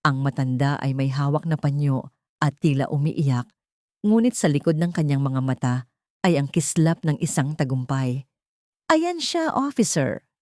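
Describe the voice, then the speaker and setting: A woman's voice: extremely low pitch, fairly even intonation, normal speed, a little mellow, no echo, studio quality. A woman reading a scripted dramatic story as an audiobook narrator.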